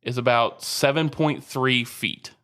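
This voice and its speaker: A man's voice: medium pitch, fairly even intonation, takes it slowly, bright, forceful tone. A man co-hosting a podcast who chats casually about baseball, laughs often and teases his partner while explaining stats. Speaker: podcast co-host. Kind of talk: casual sports chat.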